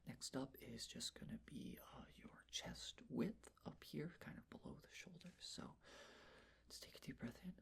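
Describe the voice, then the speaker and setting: A man whispers through an ASMR roleplay in a very breathy, husky voice. Creaky fry runs through his words. He gives gentle, reassuring instructions one step at a time, as if attending personally to the listener. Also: mid-range voice, wildly swooping pitch, moderate pace.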